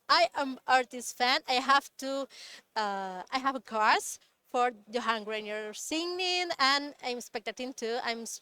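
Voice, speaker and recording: A woman co-hosting a live stream, speaking haltingly and searching for her words. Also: a little high, bright, forceful tone, clean tone. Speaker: event co-host. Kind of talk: casual live stream chat.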